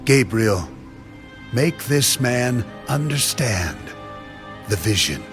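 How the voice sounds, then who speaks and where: Casting: male actor as commanding celestial caller, slow and widely inflected, solemn Bible drama with music. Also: mid-range voice, sing-song. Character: commanding heavenly voice calling to an angel. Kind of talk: dramatized audio Bible acting. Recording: music under the voice.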